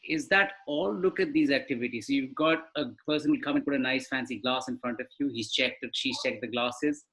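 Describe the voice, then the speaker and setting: A man's voice: mid-range voice, fairly bright tone, rough recording. A man, speaking as a panelist on a live webinar over a video call, explaining in a conversational way and asking rhetorical questions. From India.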